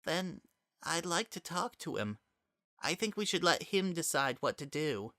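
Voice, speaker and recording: Performer voicing a soft, gentle, sorrowful child. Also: mid-range voice, big pitch swings, measured pace, no room sound, clean studio sound, voice pushed up. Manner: soft, tender, hesitant.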